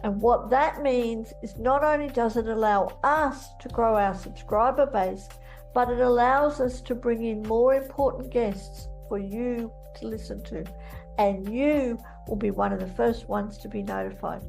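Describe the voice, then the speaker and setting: A woman's voice: fairly high pitch, measured pace, gravelly, darkish tone, low-quality recording. A woman announcer giving a persuasive call to subscribe before an interview. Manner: inviting, persuasive. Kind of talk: channel promo call to subscribe. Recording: faint music behind.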